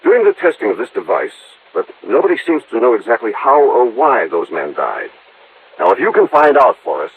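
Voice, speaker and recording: A man's voice: medium pitch, huge pitch swings, moderate pace, narrow, tinny audio, slight background noise. An American man playing an earnest, businesslike insurance official in an old radio drama, explaining things carefully. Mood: concerned, insistent. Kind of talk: mid-century American radio drama acting. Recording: band-limited old radio recording.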